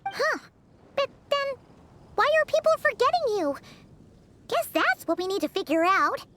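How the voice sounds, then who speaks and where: A woman voicing a small, girlish, excitable fairy companion in a video game, with a childlike squeaky voice. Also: unhurried, heavily acted. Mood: worried, puzzled. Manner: animated, expressive. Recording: background music under voice.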